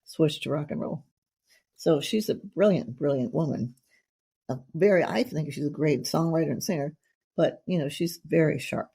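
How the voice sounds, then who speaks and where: A woman's voice: deep voice, normal speed, gravelly, warm tone, husky. A middle-aged woman hosting a casual interview, chatty and effusive, rambling from one thought to the next. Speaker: podcast host.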